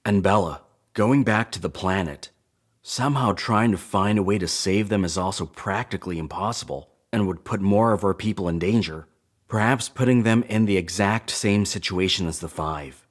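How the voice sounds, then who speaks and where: A cautious, doubting male crew officer in a sci-fi audio drama. He has a fairly low voice and challenges things bluntly. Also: moderate pace. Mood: skeptical.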